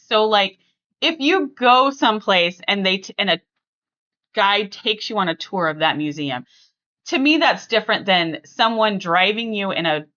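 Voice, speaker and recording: A woman hosting a casual family podcast, chatting conversationally and thinking out loud as she talks. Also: medium pitch, muffled recording. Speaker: podcast host.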